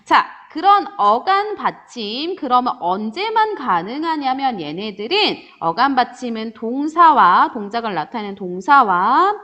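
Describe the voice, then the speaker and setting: Female grammar teacher, high and animated, drawls example words slowly for students. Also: dull audio. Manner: lively teacher voice, answers imagined student questions, drawn-out example words. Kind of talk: online grammar lecture.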